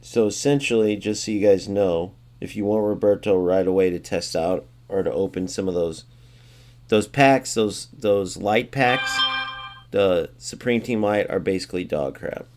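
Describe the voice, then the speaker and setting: A man's voice: on the low side, moderate pace, a little mellow. A man hosting a gaming channel, chatting casually to viewers and thinking aloud as he adds up points. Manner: thinks aloud, muttering sums to himself; chatty, addressing viewers as guys. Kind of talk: casual game commentary.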